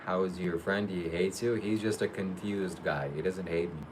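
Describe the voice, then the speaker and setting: Low-key man answering chat questions. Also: steady tone, moderate pace, background noise. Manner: reads viewer questions aloud, answers briefly; matter-of-fact, low-key. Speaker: livestream host. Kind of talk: casual live Q&A.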